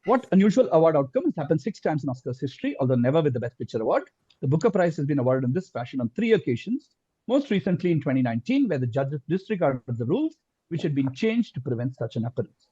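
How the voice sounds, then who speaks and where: Male quizmaster, higher-pitched and very varied in tone, slightly husky, dull call audio. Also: very animated, quick pace, dark tone. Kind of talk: reading quiz questions in an online quiz.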